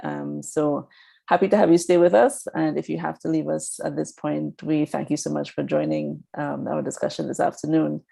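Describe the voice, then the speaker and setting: Low, gravelly-voiced woman moderator. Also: steady tone, normal speed, warm tone. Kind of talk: hosting a panel discussion.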